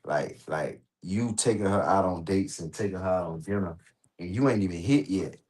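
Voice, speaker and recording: An American man talking casually on a livestream, animated and slangy, swearing often and repeating himself for emphasis. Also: sits low, normal speed. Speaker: host reacting on a livestream. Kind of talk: casual rambling livestream talk.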